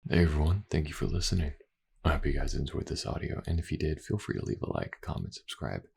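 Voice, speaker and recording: A man talking casually and informally to his listeners in a low-key creator's outro. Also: fast talker, creaky, a little mellow, slightly rough. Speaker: ASMR voice actor, channel creator.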